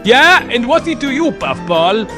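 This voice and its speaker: A man's voice: very high voice, big pitch swings, unhurried, over music, full character voice. A man voicing a bullying, sneering villain diesel engine in a mocking, taunting manner for a cartoon comic dub.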